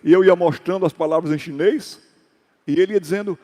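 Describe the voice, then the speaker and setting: A male pastor telling stories in a sermon, acting out dialogue and rising into loud exhortations. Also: high voice, brisk, in a large hall. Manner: storytelling with acted dialogue and sudden loud exhortations. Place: church hall.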